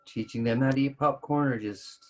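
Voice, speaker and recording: A man reads aloud in a storytelling style at a middling pitch. His delivery is expressive and casual, with the odd stumble over the words. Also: fairly quick, music in the background. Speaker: co-host reading a story aloud.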